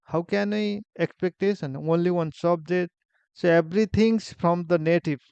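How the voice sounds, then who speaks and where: Nepali-accented man telling his story haltingly. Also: high-pitched, gravelly, a little mellow, clean tone, no echo, highs cut off. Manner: halting, many fillers and restarts, simple phrasing. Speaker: vlogger. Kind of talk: personal story, casual monologue.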